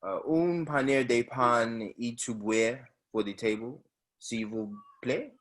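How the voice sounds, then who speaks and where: A man's voice: medium pitch, slow pace, a bit bright. A man acting a nervous, eager-to-please young son in a play reading, evasive and flustered.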